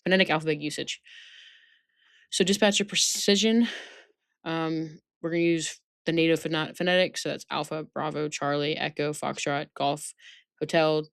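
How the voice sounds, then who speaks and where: A woman's voice: low-pitched, steady tone, moderate pace, creaky, no echo, studio quality. A woman instructor giving an informal training lesson, reading out points and explaining them, often checking that listeners follow. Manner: instructive, checks understanding with tag questions.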